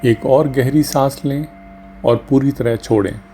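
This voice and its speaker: A man leading a guided meditation as a doctor, speaking gently and calmly in short phrases with long pauses. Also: medium pitch. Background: music under the voice.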